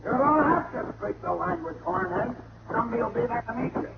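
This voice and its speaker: Brief: barking police lieutenant, gravelly, swinging pitch, sarcastic, vintage radio drama with muffled noisy sound. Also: male voice, expressive, fairly quick, exaggerated voice. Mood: exasperated. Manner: curt, sarcastic. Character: exasperated, barking middle-aged male police lieutenant. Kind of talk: 1940s radio drama acting. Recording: muffled, noisy old-time radio recording.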